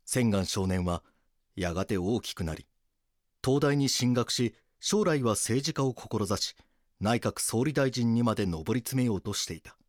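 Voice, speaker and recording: A man reading scripted documentary drama narration in a grave, measured manner. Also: medium pitch, animated, dry recording, studio quality.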